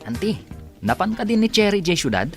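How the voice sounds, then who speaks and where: A man's voice: high-pitched, very animated, fairly quick, faint music behind. A man playing an easygoing provincial young relative in a radio drama. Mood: practical. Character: easygoing provincial nephew guiding his relatives.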